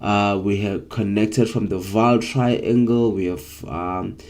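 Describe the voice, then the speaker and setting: A man's voice: fairly low pitch, fairly even intonation, takes it slowly, a little mellow. Upbeat man, under the weather. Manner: upbeat, hypes up viewers, frequent ums. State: unwell with flu, voice less clear. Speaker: trading session host. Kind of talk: live-streamed trading session.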